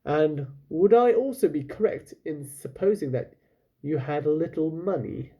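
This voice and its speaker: A man's voice: a little high, expressive, measured pace, slightly rough, highs cut off. A man voicing a proud, bumbling pirate captain in a storybook, grandly formal, boastful and trying to sound fierce. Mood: smooth, courteous.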